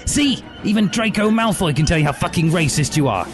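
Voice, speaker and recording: A man voicing an indignant, defiant teenage boy revolutionary who yells, in a satirical story. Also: medium pitch, brisk, over music, natural voice. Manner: yelling, defiant.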